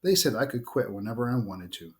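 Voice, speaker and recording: A man's voice: lowish voice, expressive, fairly quick, close to an everyday voice. A man reading the part of a young boy in a storybook, a stubborn, sulky little brother who pushes back. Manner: stubborn, challenging. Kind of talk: storybook read-aloud dialogue.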